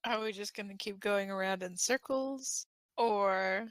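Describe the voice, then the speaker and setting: A woman's voice: mid-range voice, measured pace, no echo, lightly acted. Woman playing a shy, curious young man, close-miked. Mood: casual, shy. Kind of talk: fan fiction audio drama dialogue.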